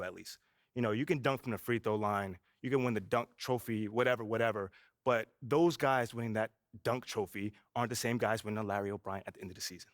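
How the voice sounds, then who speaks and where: A man's voice: mid-range voice, no room sound, studio quality, close to an everyday voice. A man voicing a brash young basketball rookie. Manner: laid-back, brash, peppers speech with "you know". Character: confident young male pro basketball rookie. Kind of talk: video game cutscene voice acting.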